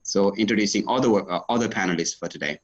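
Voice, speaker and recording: A man from Nepal with a Nepali accent, formally welcoming people as moderator in a halting, deliberate way. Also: lowish voice. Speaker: webinar moderator, youth engagement officer. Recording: dull video-call audio.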